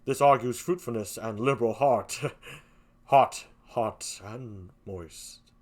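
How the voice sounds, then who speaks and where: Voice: medium pitch, takes it slowly, a little mellow, pitched below the performer's own voice. A reader voicing a brooding jealous general. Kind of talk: reading a play aloud.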